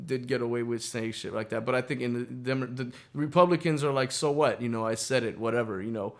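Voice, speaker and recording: A man's voice: mid-range voice, brisk. An American man co-hosting a casual radio talk show, chatting about politics in a crude, irreverent, profane way.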